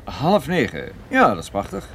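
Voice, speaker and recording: An actor playing a wry, brisk private detective on the run in a radio drama, telling and acting the story with dry understatement. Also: male voice, medium pitch, wildly swooping pitch, fairly bright tone, a little hiss or hum. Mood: dry, hurried. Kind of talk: radio drama narration and dialogue.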